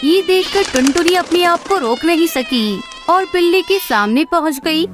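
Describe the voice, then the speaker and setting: Lady narrator for a kids cartoon tale, really high voice, music clearly playing behind. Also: lively intonation, moderate pace. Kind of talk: scripted children's story narration.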